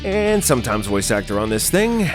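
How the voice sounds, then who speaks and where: A man's voice: fairly low pitch, sing-song, normal speed, music in the background. An American man chatting casually as he hosts and introduces a podcast. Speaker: podcast host and producer.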